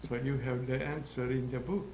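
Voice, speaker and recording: A man in his nineties from Hungary, speaking in halting, searching sentences as an interview guest. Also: medium pitch, flat delivery, a little mellow. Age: 90s. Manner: halting, searching for words, non-native grammar. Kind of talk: interview answers. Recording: roomy echo with faint hum.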